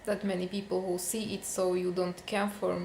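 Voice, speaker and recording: A woman's voice: fairly low pitch, little pitch movement, normal speed, vocal fry, darkish tone, reverberant, a little hiss or hum. A young woman from Slovakia with a Slovak accent, venting casually to camera in a vlog, with many fillers. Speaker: vlogger.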